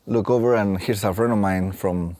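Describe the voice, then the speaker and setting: A man in his late thirties with a Mexican accent, telling his own story in a halting, reflective way. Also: lowish voice, fairly even intonation, dry recording, clean studio sound. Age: late 30s. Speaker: facilities and safety technician and staff trainer. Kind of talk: reflective personal storytelling for a training film. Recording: soft plucked guitar music behind. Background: guitar music.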